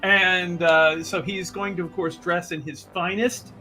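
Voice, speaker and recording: A man with a slightly high voice casually describes his character in a tabletop game. He rambles and hesitates as he makes it up, with faint music behind him. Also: steady tone, measured pace, pushed and bright, low-quality recording. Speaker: player in a tabletop roleplaying game.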